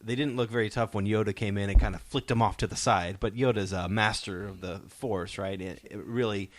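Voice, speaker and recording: A man's voice: fairly low pitch, steady tone, a little fast. A man hosting a podcast in casual pop-culture discussion, explaining his points and posing rhetorical questions. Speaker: podcast host. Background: soundtrack music playing faintly.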